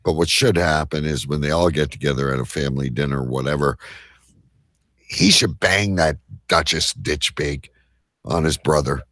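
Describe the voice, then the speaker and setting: A man's voice: a bass voice, moderate pace, fairly bright tone, dry recording, clean studio sound. A man talking as a talk show host in crude, irreverent banter, brash, mocking and argumentative.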